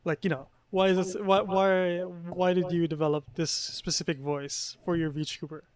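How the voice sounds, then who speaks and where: A man's voice: sits high, flat delivery, moderate pace, darkish tone. A young man from the Philippines with a faint Filipino accent, co-hosting a casual podcast and talking hesitantly, repeating words. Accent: Filipino, mostly neutral English. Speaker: podcast co-host. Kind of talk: casual chat.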